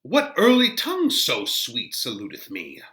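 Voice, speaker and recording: A man playing a gentle, fatherly older friar, reciting Shakespearean verse in a measured, drawn-out way. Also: mid-range voice, huge pitch swings, reverberant, full character voice. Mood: gently probing. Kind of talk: dramatic reading of Shakespearean verse.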